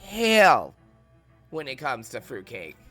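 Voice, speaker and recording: A man's voice: medium pitch, wildly swooping pitch, takes it slowly, pushed and bright, faint music behind. A man with a New England accent, chatting casually and playfully with viewers while streaming a game, swearing often. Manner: chatty, profane, playful, camp banter. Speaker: streamer playing a video game. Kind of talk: casual livestream chat with viewers.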